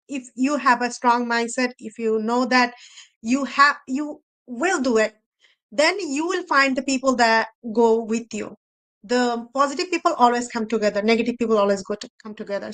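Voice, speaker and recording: A woman with a fairly high voice speaks off the cuff at a motivational panel. English is not her first language, and she is earnest, repeating herself and phrasing things loosely as she encourages her listeners. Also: a bit bright. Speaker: host and speaker on a women entrepreneurs' live panel. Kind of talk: motivational talk, unscripted.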